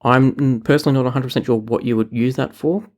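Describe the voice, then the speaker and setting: A man hosting a tech podcast, talking casually and chattily with a relaxed manner. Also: medium pitch, quick pace. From New Zealand. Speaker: podcast host.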